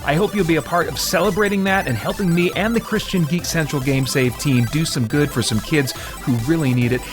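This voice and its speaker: Higher-pitched man reading a scripted promo. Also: fairly quick, over music. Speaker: channel host promoting a charity fundraiser.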